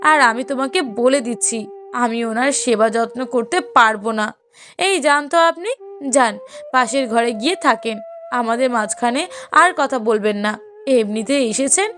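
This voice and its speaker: A woman's voice: fairly high pitch, a bit bright. A woman voicing a cruel, bossy young daughter-in-law in a cartoon story, scolding and complaining sharply. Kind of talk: cartoon moral story voice acting.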